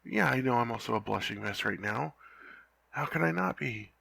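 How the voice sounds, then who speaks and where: A young man playing a lovesick, flustered knight in an ASMR roleplay, talking softly and haltingly with frequent stammers. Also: medium pitch, animated, creaky, husky, full character voice. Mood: flustered, giddy. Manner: halting, stammering, soft-spoken.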